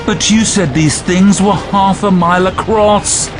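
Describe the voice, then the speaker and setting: A man's voice: high voice, fairly even intonation, measured pace. An actor playing an alarmed, disapproving man in a wartime audio drama. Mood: indignant. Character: alarmed, disapproving man objecting in a war crisis. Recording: music and effects behind.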